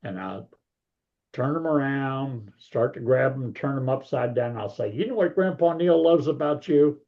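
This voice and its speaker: A man's voice: mid-range voice, moderate pace, muffled recording. An older man, a grandparent, talking casually as an author guest in an interview, in a big voice with a folksy, rambling manner.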